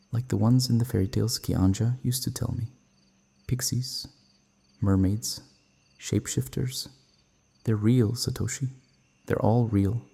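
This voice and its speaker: An actor playing a guilt-ridden, grieving vampire in an audio roleplay, speaking in a halting, sorrowful, confessional way. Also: male voice, mid-range voice, vocal fry, warm tone, slightly rough, full character voice. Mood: haunted, grieving. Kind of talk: dramatic audio roleplay acting.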